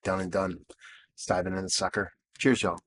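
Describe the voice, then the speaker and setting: A man in his thirties, hoarse from losing his voice, casually reviewing a beer with rambling, self-deprecating asides and lots of fillers. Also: low voice, flat delivery, a little fast, fairly bright tone, no echo, studio quality. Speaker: beer reviewer and show host. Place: outdoors.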